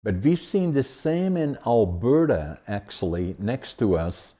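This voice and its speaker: A man's voice: medium pitch, very animated, measured pace, warm tone. A man hosting an interview podcast, chatting casually and often restarting his questions as he goes. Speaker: podcast host.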